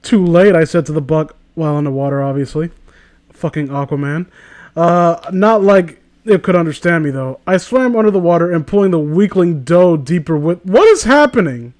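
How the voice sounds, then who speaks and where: A man with a notably high voice hosts a comedic read-aloud. He narrates, then cuts in with sarcastic, incredulous riffs and casual swearing. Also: lively intonation, normal speed, a little mellow. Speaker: host reading a story aloud.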